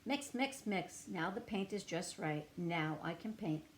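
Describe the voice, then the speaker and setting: A woman's voice: lowish voice, expressive, a little slow, slight background noise. A woman doing fussy, insistent Mister Pine. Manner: emphatic, insistent. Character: fussy, particular man, a house painter.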